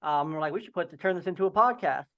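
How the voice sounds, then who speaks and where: A man's voice: on the high side, expressive, fast talker, fairly bright tone. A man with a slight lisp who stutters now and then, talking casually as a podcast guest over a video call and using plenty of fillers. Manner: occasional stutter, many fillers. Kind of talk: casual conversation. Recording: dull video call audio.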